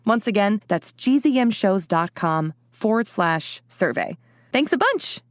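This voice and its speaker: A woman's voice: mid-range voice, sing-song, unhurried, slight background noise. A woman reading a scripted podcast promo in an upbeat, friendly, conversational manner. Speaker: ad reader for a podcast network.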